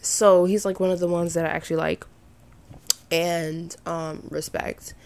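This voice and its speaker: A young woman with a slightly raspy voice and some vocal fry at sentence ends, chatting casually and giving her opinions as a podcast host. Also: on the low side, level tone, measured pace, a little mellow. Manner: informal, rambling, opinionated. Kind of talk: casual chatty solo commentary.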